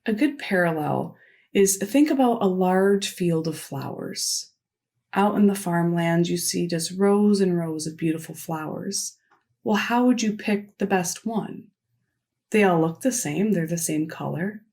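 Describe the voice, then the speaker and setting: A woman interprets a signed keynote into spoken English, her voice sitting slightly low. She works phrase by phrase, measured and steady, pausing as she follows the signer. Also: creaky. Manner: measured, delivered in short phrases with pauses. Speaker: sign language interpreter voicing a keynote. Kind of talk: simultaneous interpretation of a conference talk.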